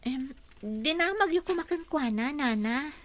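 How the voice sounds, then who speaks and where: A woman's voice: high voice, animated, unhurried, a crowd in the background. A woman acting as a respectful young housekeeper in an Ilocano radio drama, speaking with an Ilocano accent. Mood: defensive, polite. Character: respectful young woman housekeeper, college graduate job seeker.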